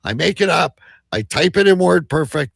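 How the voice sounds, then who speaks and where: Animated, creaky-voiced man hosting a show. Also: a little high, moderate pace, dry recording, clean studio sound. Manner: teasing, foul-mouthed. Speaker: host of a live comedy show. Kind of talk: bantering live show talk with swearing.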